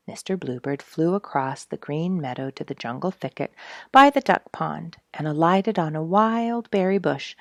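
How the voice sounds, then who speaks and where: Low-voiced, animated woman reading a storybook. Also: creaky, no room sound, clean studio sound. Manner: expressive, emphatic storytelling. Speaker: children's story reader. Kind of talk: read-aloud storybook narration.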